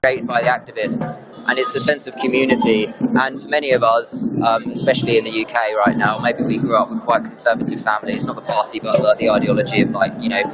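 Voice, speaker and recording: An activist man with an Essex accent talks casually in an interview. His medium-pitched voice is earnest and hesitant, slightly muffled under loud music. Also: little pitch movement, fast talker. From Essex, England. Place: live at a protest.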